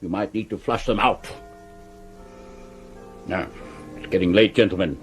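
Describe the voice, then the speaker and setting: An actor playing a brusque, pompous Victorian police commissioner with an upper-class English accent in a radio drama. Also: male voice, low voice, unhurried, soft background music. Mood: brisk command. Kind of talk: 1970s radio drama acting.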